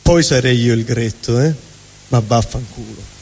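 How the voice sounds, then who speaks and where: A man's voice: medium pitch, a little slow, creaky, a little hiss or hum, voice pushed down. A man performing a theatrical comic stage monologue as an angry, vulgar but honest middle finger, ranting sardonically. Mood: irritated, mocking. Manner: ranting, sardonic, swooping between mutter and shout. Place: live stage at a fundraising event. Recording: echoey hall reverb, live stage.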